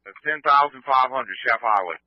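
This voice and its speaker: A man's voice: medium pitch, normal speed, no echo. Terse male firefighter on tinny radio. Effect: two-way radio. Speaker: firefighter in the field. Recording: phone-like radio with faint noise.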